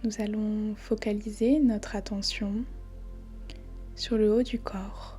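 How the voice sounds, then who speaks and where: A woman leads a guided meditation very slowly, with long pauses between short phrases. Her voice is soft, breathy and dark-soft, often slipping near a whisper, and stays quite even in pitch. She sounds calm and soothing. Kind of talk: guided body scan meditation. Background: faint background music.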